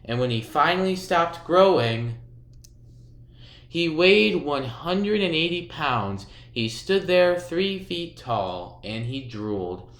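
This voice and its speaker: Animated male storybook reader, bright tone. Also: on the high side, takes it slowly, echoey room. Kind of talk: children's read-aloud narration.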